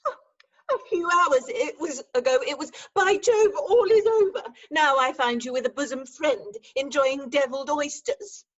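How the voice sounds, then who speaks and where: Woman doing a posh-mannered haughty young lady in an old stage farce, high voice, slightly dull lo-fi audio. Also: moderate pace, natural voice. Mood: indignant. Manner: haughty, theatrical. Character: self-possessed, indignant young lady arguing with her fiancé. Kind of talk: staged reading of Victorian farce. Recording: dull remote call audio.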